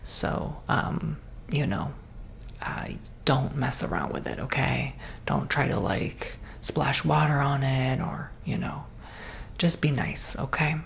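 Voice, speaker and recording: A woman playing an easygoing, reassuring salesperson in an ASMR roleplay, soft-spoken and partly whispering in an informal, rambling way. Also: rumbling low, animated, slow pace, gravelly, a little hiss or hum, exaggerated voice. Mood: gently cautioning, reassuring. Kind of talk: ASMR roleplay, improvised customer-service chat.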